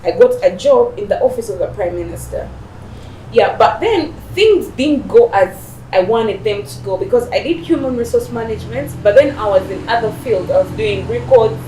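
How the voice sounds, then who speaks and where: A woman's voice: on the high side, normal speed. Young woman, echoey distant interview. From Rwanda. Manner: relaxed, rambling, with fillers. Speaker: guest, beauty business owner. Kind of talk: casual interview chat. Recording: echoey, distant mic, noisy.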